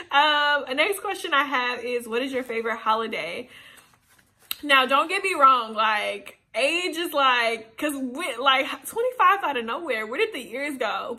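A woman in her twenties chatting casually and playfully to the camera, answering get-to-know-me questions in a small room. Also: high-pitched. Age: 20s. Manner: animated, chatty, playful asides. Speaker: YouTuber. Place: small indoor room, seated on a sofa.

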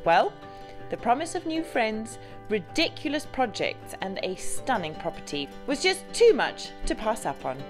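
Lively, enthusiastic woman vlogger. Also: fairly high pitch, normal speed, over music. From Zimbabwe. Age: 30s. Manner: enthusiastic, chatty. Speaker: vlog host. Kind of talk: upbeat vlog narration and chat to camera.

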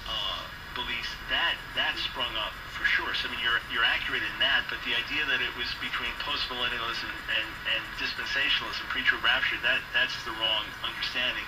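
A man in a theological discussion, argumentative and repeating himself for emphasis, heard through an old radio loudspeaker. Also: mid-range voice, fairly even intonation, brisk. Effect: played through an old radio loudspeaker. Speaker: speaker on a religious radio program. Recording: very noisy distant old radio speaker playback.

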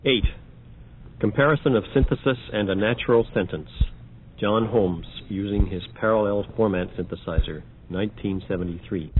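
A man's voice: lowish voice, normal speed. A man narrating an audio demonstration, reading scripted announcements. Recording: dull, noisy old record transfer.

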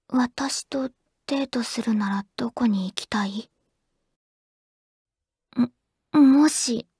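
A shy teenage anime girl murmurs close and dry into the phone to the childhood friend she likes. Her high voice is very breathy and soft, and she goes slowly, hesitating and stammering bashfully. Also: no room sound, heavily acted. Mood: flustered, embarrassed. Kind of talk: anime audio drama, intimate ASMR phone-call acting.

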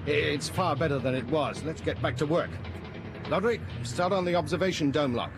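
A man acting a gruff commander, fairly high-voiced. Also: noisy background, close to an everyday voice. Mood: brisk, focused. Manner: brisk, commanding. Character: stern, gruff male spaceship commander. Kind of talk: 1980s radio science-fiction drama acting.

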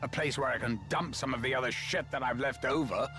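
A man's voice: medium pitch, brisk, natural voice. An actor playing an irritable, whimsical, all-powerful older man in a game, musing theatrically and with condescension. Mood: musing, exasperated. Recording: loud music behind.

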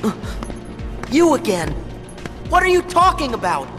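A man's voice: very high-pitched, huge pitch swings, unhurried, over music, full character voice. An actor playing an earnest, bewildered teenage boy hero in a video game, open and questioning. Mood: troubled. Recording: long hall reverb.

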